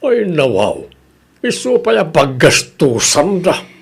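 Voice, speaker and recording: A man's voice: a little high, huge pitch swings, takes it slowly, creaky, breathy edge, full character voice. A man playing a suspicious, grumbling older village husband with an Ilocano accent in a Filipino radio comedy drama. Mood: questioning.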